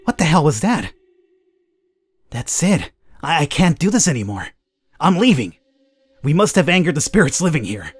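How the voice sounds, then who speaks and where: A man hosting a gaming video, reading a horror visual novel aloud as expressive, dramatic first-person narration. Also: a little high, moderate pace, pauses often. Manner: expressive, acts out the heroine's thoughts. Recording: clear background noise, game ambience.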